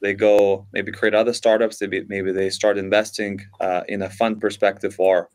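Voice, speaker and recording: A man's voice: fairly low pitch, flat delivery, normal speed. A man from Lithuania, a podcast guest, answering interview questions fluently, with many repeated starts and fillers. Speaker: podcast guest, venture investor.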